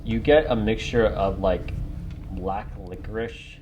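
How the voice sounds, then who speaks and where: A man's voice: fairly low pitch, takes it slowly. A man acting as game master, narrating a tabletop adventure in an informal, conversational way as he searches for words. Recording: noisy home group recording.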